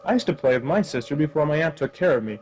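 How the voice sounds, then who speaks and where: The wry, hapless gumshoe of a spoof radio serial, played by a man, deadpan from behind the office desk. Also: on the high side, fast, a little hiss or hum, close to an everyday voice, poor audio. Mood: curious. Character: hapless, wry hard-boiled male private detective. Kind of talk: comic noir radio play narration and acting.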